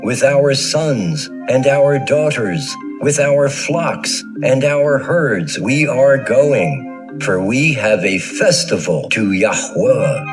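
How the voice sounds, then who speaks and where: A grave Hebrew prophet and leader, played by a man, speaks very slowly and firmly in a scripture audio drama. His pitch moves widely, with music underneath. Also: expressive. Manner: deliberate, firm.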